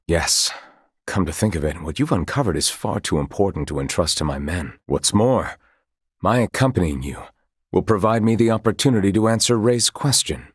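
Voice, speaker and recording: An actor playing a grave, dutiful military commander in a fantasy video game, speaking in formal, courtly phrases with measured care. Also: male voice, deep voice, dry recording, studio quality. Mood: earnest, measured. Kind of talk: scripted video game dialogue.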